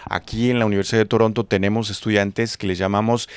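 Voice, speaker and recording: A man's voice: fairly low pitch, flat delivery, fast, clean tone, dry recording. A man hosting an interview, often answering with short words of agreement. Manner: frequent short agreeing backchannels. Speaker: host, professor. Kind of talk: conversational interview.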